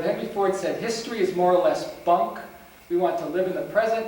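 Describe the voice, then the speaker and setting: A man with a mixed New England and North Country accent gives a rambling lecture on genealogy to a meeting, recorded from far off in a meeting room with a faint hiss. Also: fairly high pitch. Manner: rambling, talking to the audience with many restarts. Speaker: genealogy speaker. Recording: roomy, distant, faint hiss.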